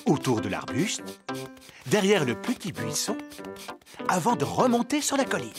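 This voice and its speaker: A man's voice: a little high, wildly swooping pitch, normal speed. A man reading scripted narration for a children's cartoon. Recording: music under the voice.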